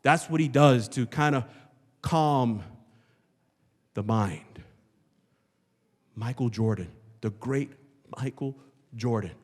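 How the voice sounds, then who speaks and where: A man's voice: medium pitch, slow pace, pauses often. Emphatic male preacher in a reverberant hall. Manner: emphatic, rhetorical, repeats phrases for effect. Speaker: pastor preaching. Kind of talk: animated church sermon. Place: large church hall, before a congregation. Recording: long hall reverb, church sanctuary PA.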